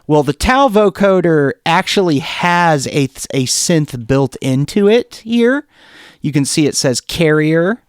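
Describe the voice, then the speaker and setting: Male tutorial presenter, informal and keen, mid-pitched clear voice, unscripted, very little room sound. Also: animated, slow, dry recording, clean studio sound. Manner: informal, enthusiastic, thinking aloud. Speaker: music production tutorial presenter. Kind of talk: casual software demo, talking off the cuff.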